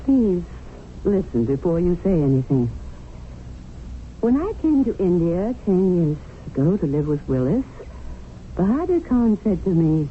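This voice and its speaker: An actress playing a pragmatic, domineering English memsahib, patient and lecturing, in a radio drama. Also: female voice, lowish voice, sing-song, unhurried, pauses often. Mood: coaxing, pleased. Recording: tinny, noisy old radio broadcast.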